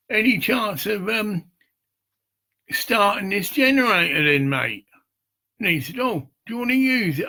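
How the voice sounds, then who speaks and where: An older man with a gravelly, husky voice, rambling and swearing in a loose monologue, often checking "get it?". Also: a little high, pushed and bright. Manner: rambling, profane, keeps asking "get it?". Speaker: street preacher.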